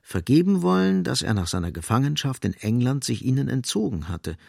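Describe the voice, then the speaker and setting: An actor as the grave, sardonic narrator of a literary radio drama, speaking deliberately and with dramatic emphasis. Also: male voice, medium pitch, very animated, gravelly, a little mellow, slightly rough, no room sound, studio quality, heavily acted.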